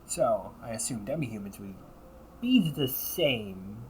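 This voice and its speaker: A man playing a wry, politely smug demon-hunting officer in an audio roleplay, speaking in a courteous, measured, teasing way with breathy asides. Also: on the low side, lively intonation, slight background noise. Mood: polite, amused. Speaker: amateur voice actor. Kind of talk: audio roleplay, one-sided dialogue addressed to the listener.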